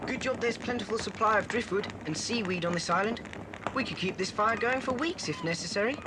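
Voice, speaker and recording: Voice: medium pitch, brisk, close to an everyday voice. A performer playing a sensible, reassuring older boy in a children's adventure radio play. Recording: noisy old cassette transfer.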